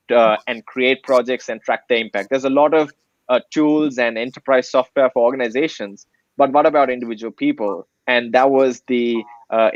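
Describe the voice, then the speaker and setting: A man's voice: mid-range voice, no echo, highs cut off. Earnest young man from Sri Lanka. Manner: earnest, explanatory, frequent fillers. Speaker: nonprofit executive director. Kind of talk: interview answers.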